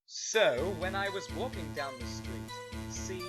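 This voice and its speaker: A man's voice: sits high, lively intonation, unhurried, over music, low-quality recording. A man voicing a shifty, cheeky witness with a folksy, evasive, blustering manner in a fan audio drama. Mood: casual, explaining. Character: shifty, cheeky male animal witness on the stand.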